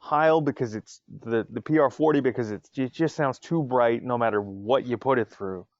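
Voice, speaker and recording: A man talks casually as the host of his own podcast, opinionated and full of asides. His voice sits at a middling pitch. The sound is dry and a little dull. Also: normal speed, darkish tone, no echo. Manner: chatty, opinionated, many asides. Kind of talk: casual solo podcast talk.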